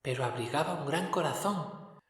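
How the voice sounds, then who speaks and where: A man voicing a solemn ghostly spirit in a storybook reading, speaking softly and somewhat higher than his own voice. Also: normal speed, very echoey, big-room sound, close to an everyday voice. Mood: solemn, hushed.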